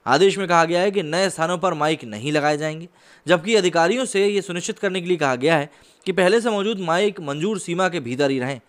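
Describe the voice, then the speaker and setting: A man's voice: sits high, fairly bright tone. A man hosting a news commentary, reading reports in an earnest, formal way. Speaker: YouTube news host and commentator.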